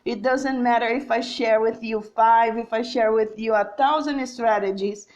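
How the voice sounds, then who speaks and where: Brazilian lady teaching english live, slow and careful, lots of uhs, kinda high voice. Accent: Brazilian Portuguese. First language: Portuguese. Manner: slow and deliberate, with many fillers and checks like "all right?". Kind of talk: live-streamed lesson reading comments.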